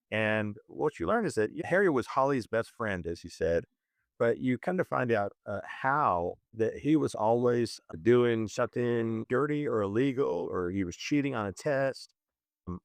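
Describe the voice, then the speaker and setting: A man discussing films conversationally as a podcast host, in a halting, self-correcting way. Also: fairly low pitch, dry recording, clean studio sound. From moved to Zambia at eleven, schooled in Kenya.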